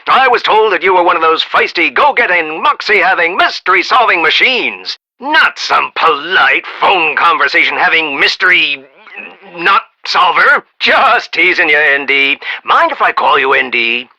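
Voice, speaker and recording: A man's voice: on the high side, big pitch swings, normal speed, pushed and bright, full character voice, rough recording. A man playing a busy, eccentric inventor and boss in a video game, brash, jokey and teasing in a hammy way.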